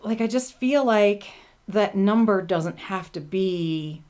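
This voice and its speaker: A woman's voice: mid-range voice, lively intonation, takes it slowly, a little mellow. A woman in her fifties, a podcast guest, talking casually and with determination, laughing often. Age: 50s. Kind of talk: casual interview chat.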